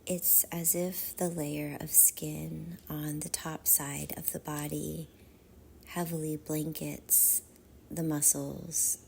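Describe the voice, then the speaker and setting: Woman, meditation guide, soft breathy half-whisper, soothing, narrow even pitch, long pauses, faint background ambience. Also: a little hiss or hum.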